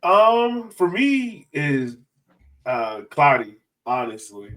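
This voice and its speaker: A man's voice: fairly high pitch, sing-song, takes it slowly, a bit bright. A man hosting a sports fan podcast, chatting informally and enthusiastically. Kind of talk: casual panel chat.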